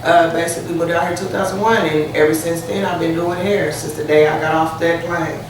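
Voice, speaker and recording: A man's voice: fairly high pitch, flat delivery, a little fast. Chatty male guest from Kansas City; distant mic, heavy room echo. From Kansas City, Missouri. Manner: chatty, rambling, frequent restarts. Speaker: hair salon co-owner, guest. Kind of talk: casual interview. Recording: echoey room, distant mic, noticeable noise.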